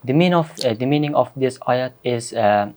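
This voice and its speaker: A young man from Afghanistan speaks as a student guest in a studio. His answers are earnest but halting, broken by frequent pauses. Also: medium pitch, a little mellow. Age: young adult. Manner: halting, many uh fillers, earnest. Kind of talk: hesitant interview answers.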